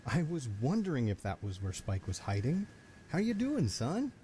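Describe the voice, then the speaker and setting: A man's voice: fairly high pitch, wildly swooping pitch, normal speed, dark tone, slight background noise. A man playing a kindly middle-aged stallion father in an amateur audio drama, folksy and affectionate. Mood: warm, jovial.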